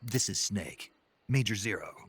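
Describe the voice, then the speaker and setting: Gruff low-voiced soldier. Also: male voice, lively intonation, unhurried, a bit bright, a little hiss or hum, heavily acted. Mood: grim. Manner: terse, intense. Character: gruff, terse male special forces soldier. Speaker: field agent. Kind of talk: cinematic video game acting.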